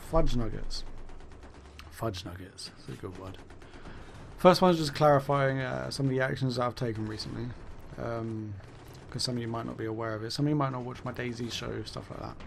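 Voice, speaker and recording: Rambling gravelly-voiced man, voice going. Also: medium pitch, brisk, a little hiss or hum. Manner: rambling, self-correcting, swears then laughs it off. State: losing his voice. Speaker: gaming YouTuber. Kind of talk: casual commentary monologue.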